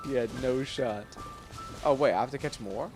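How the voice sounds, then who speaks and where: A man's voice: medium pitch, moderate pace. A man chatting casually on a gaming livestream in a playful, self-deprecating way. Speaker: game livestreamer. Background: game music.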